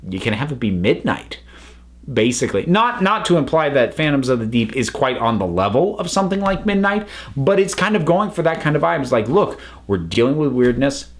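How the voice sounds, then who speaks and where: Man reviewing with very animated, swinging pitch. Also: a little fast. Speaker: review video host. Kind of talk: casual review talk to viewers.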